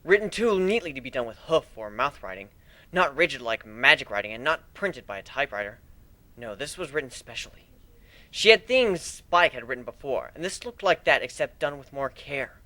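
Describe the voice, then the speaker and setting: A man's voice: mid-range voice, lively intonation, normal speed, bright, forceful tone, dry recording. A man giving an amateur dramatic reading as the narrator of a fan fiction story.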